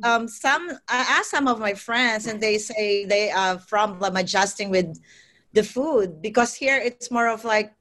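A woman with a Filipino accent and a mid-range voice, speaking as a nurse on a panel. She talks with many fillers and pauses and laughs easily. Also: medium pitch, a bit bright. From Philippines. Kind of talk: panel discussion.

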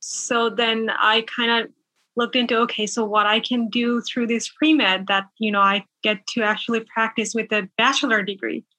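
A young woman from Bangladesh talks with a bright, slightly high voice. She chats easily, with plenty of fillers and laughs. She is heard close and dry over a video call. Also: steady tone, normal speed, dry recording, rough recording. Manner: chatty, laughs often, many fillers. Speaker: nurse guest. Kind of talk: casual interview chat.

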